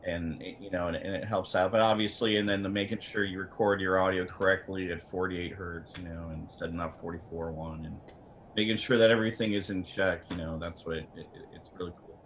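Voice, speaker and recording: A man's voice: low voice, fairly even intonation, fairly quick, a little hiss or hum. A man speaking as a video equipment expert, giving informal technical advice in a rambling, self-interrupting way.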